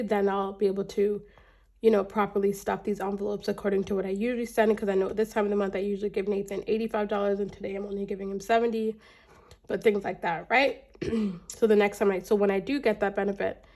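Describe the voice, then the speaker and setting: A woman in her thirties from Canada, chatting casually to camera about her budget, her voice a little raspy and congested from a cold, talking in a rambling way with many restarts. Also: medium pitch, darkish tone. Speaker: budgeting vlogger.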